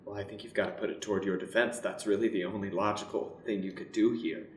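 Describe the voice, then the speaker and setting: A man's voice: on the low side, steady tone, fast, lightly acted. A man playing a very ugly, brash warlock who hands out bad advice, in an improvised radio play. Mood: pushy, dismissive. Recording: roomy sound with faint background noise.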